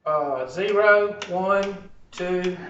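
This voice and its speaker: A man's voice: high voice, very slow, a bit bright, slight background noise. A man teaching a math class, working problems aloud and questioning students in a classroom. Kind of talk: classroom lesson. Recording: echoey classroom, dull far-off sound.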